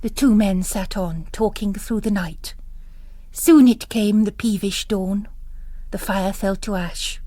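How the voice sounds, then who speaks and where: A woman narrating literary fiction in a measured, deliberate scripted reading. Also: mid-range voice, mellow, no echo. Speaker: narrator, reader.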